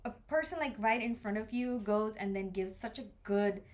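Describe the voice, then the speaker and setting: Young woman, measured podcast co-host. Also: mid-range voice, a crowd in the background. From Nepal. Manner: measured, explains with personal examples. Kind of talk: casual podcast chat.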